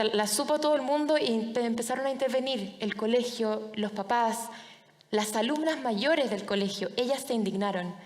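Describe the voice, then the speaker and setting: A young woman with a Chilean accent gives a personal storytelling talk. Her voice sits fairly high. She speaks in short, deliberate phrases with dramatic pauses, and the sound carries the long reverb of a large hall. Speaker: public speaker, anti-bullying foundation director.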